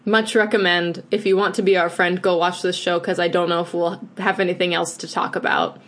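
A woman's voice: on the low side, flat delivery, brisk, a bit bright. A woman co-hosting a podcast in casual chat, gushing and chatty, with lots of filler "like"s. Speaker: podcast co-host.

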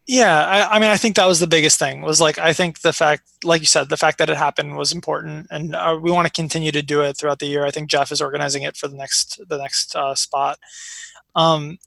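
A man's voice: on the high side, steady tone, brisk, creaky, a bit bright, no room sound. An American man talking casually and telling personal stories in an informal, rambling way peppered with "like". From born in Iraq, raised in Chicago, United States. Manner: rambling, informal, frequent filler "like". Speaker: interview guest.

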